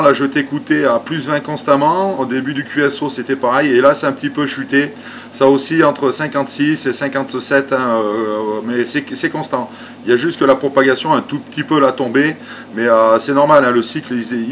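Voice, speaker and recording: Guy on ham radio, medium pitch, noisy background, chatty and polite, repeats himself. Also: fairly bright tone. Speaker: amateur radio operator. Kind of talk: casual ham radio conversation.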